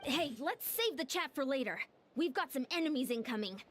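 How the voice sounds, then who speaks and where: An earnest young female battle leader from an anime-style game. She speaks in a high, animated voice, focused and commanding, always on guard. Soft game music sits underneath. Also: brisk. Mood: confident.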